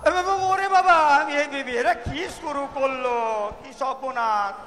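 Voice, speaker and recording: A man's voice: very high-pitched, lively intonation, normal speed, sharp tone, full character voice. A man playing a flustered, exasperated landlord uncle who stammers and exclaims, in a staged comic audio drama. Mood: alarmed. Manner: exclaiming, stammering when alarmed. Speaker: amateur actor, schoolteacher. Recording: echoey hall stage recording, audience noise.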